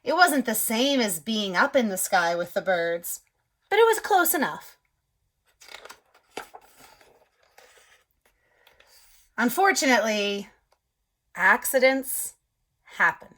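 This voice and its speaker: A woman's voice: on the high side, big pitch swings, measured pace. A woman voicing a timid egg telling his story. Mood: hopeful. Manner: soft storytelling, whispers at scared moments. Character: young male egg, timid but hopeful.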